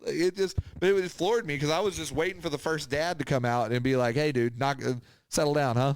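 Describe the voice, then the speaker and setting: A man's voice: on the high side, fairly quick. A man telling casual anecdotes as a podcast host, animatedly acting out what people said and swearing freely. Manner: animated retelling with acted-out quotes, swearing. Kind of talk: casual chat, anecdotal storytelling.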